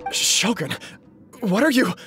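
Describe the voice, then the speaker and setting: An earnest, indignant young noble heir. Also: male voice, high-pitched, big pitch swings, takes it slowly, music in the background, full character voice. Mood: startled. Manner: formal, reasoned, firm. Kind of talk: video game voice acting.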